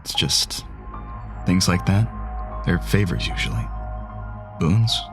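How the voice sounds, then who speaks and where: A man's voice: deep voice, big pitch swings, slow pace, music in the background, exaggerated voice. A man playing a weary, guarded mortal gunman in an audio drama, blunt and world-weary in manner. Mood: matter-of-fact.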